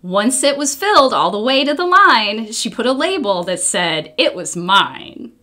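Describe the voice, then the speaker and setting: A middle-aged American woman reading a children's book aloud in an expressive, deliberate way. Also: fairly high pitch. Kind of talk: read-aloud storytelling, scripted. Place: small indoor room, wall covered in papers.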